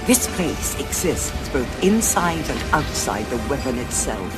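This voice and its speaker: A woman playing a cold, calculating senior Time Lord strategist in an audio drama, with a measured, imperious delivery. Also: extremely low pitch, sing-song, loud music behind the voice, heavily acted. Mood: brisk, commanding.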